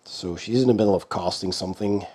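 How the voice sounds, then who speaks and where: A hoarse man with a cold hosts an unboxing in a casual, unhurried way. He talks in a low-key manner with many pauses. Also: a little mellow. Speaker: board game channel host.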